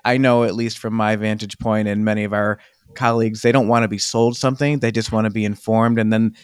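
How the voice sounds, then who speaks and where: Male podcast host in his thirties, low voice, dry close sound, friendly. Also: flat delivery, fairly quick, clear, resonant tone, no room sound. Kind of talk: casual interview.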